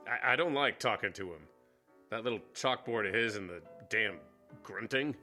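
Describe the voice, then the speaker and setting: A man acting in a radio drama as a gruff, hard-drinking, cynical war veteran who manages a station, blunt and sardonic, with an American accent. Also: lowish voice, moderate pace, bright, forceful tone, soft background music. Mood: grudging. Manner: blunt, sardonic, stumbling over words.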